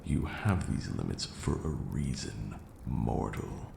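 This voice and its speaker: A man's voice: very deep voice, big pitch swings, slow pace, gravelly, reverberant, background noise, full character voice. A man playing a haughty but caring vampire lord in an ASMR roleplay, speaking formally and sternly. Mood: gently scolding.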